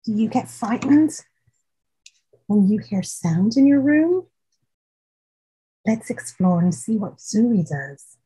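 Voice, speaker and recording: Slow, husky woman reading a storybook. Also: mid-range voice, sing-song, rough recording. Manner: gentle, addressing children directly with questions. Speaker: host reading a children's picture book aloud. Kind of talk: expressive storytime read-aloud with asides to young listeners.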